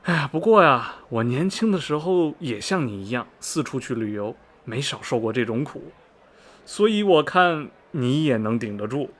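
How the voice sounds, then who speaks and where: A man's voice: mid-range voice, animated, moderate pace, a bit bright. A man playing a chatty, folksy middle-aged ticket clerk in a radio drama, rambling and exclaiming colloquially. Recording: faint background noise and ambience.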